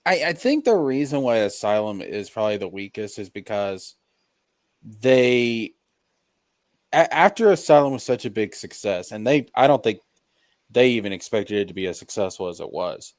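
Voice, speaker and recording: Animated, low-voiced man chatting casually. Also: normal speed, dry recording. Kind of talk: casual chat.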